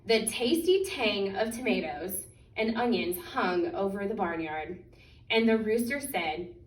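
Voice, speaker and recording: A woman reads a picture book aloud for children in an expressive, deliberate way, stretching out key words. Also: medium pitch. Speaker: children's story reader. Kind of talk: animated picture-book storytime reading. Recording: echoey room, distant microphone.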